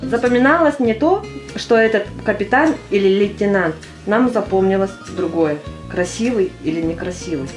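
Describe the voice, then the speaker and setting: Woman playing a naive army girl, mid-pitched. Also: normal speed, soft background music, close to an everyday voice. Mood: naive, recalling. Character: naive young army girl baffled by military ranks. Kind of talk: amateur audio play monologue.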